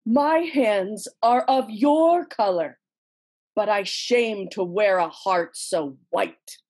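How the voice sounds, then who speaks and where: Steely, scheming noblewoman played by a woman, slow and husky, for an amateur verse drama read-through. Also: medium pitch, a little mellow. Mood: scornful. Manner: measured, dramatic reading. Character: scheming, steely wife of a murderous lord. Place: small room at home, indoors. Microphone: computer mic on a video call.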